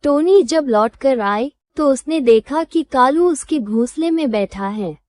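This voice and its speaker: A woman reading a scripted children's moral story as storyteller, in a measured, instructive manner. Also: high voice, expressive, darkish tone.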